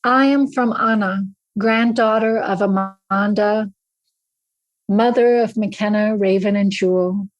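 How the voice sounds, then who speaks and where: A woman in her fifties from southern Africa, reading her own poem aloud in a measured, even recitation as a podcast guest. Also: mid-range voice, dry recording, highs cut off. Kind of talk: slow poetry reading.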